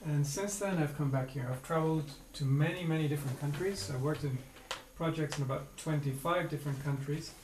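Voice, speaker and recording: A middle-aged man from Venezuela, explaining in a measured way with small restarts. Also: on the high side, darkish tone. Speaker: interviewed parent. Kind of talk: casual explanation. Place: room with a wall map, indoors. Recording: echoey room, faint noise.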